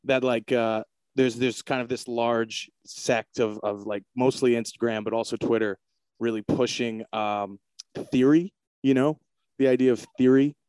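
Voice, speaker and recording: Man, interviewer, low voice, smooth and resonant, dry sound, halting fillers. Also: steady tone, darkish tone, no echo. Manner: hesitant, full of fillers and restarts. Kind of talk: casual interview chat.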